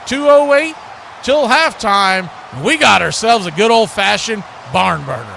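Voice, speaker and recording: A man's voice: sits high, animated, unhurried, creaky, pushed and bright, slight background noise. A man doing live radio play-by-play of a football game, calling the action in clipped, punchy bursts. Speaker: play-by-play sports announcer.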